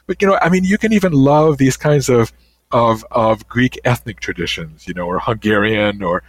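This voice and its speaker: An older man, a guest author, answering interview questions in a thoughtful, halting way with many restarts. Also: medium pitch, no echo.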